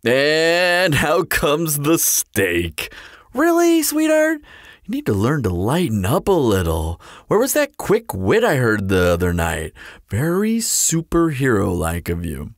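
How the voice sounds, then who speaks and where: A man's voice: on the high side, huge pitch swings, slow, dry recording, clean studio sound, full character voice. A man playing a teasing, flirtatious immortal vampire in an audio roleplay, with a playful, sardonic, theatrical drawl. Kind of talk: scripted audio roleplay acting.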